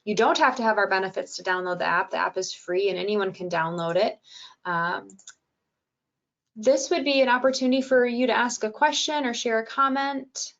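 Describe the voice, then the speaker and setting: A woman's voice: medium pitch, normal speed, highs cut off. Soothing woman hosting a webinar. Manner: calm, gentle, with frequent fillers. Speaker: webinar presenter and podcast host. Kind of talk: webinar presentation.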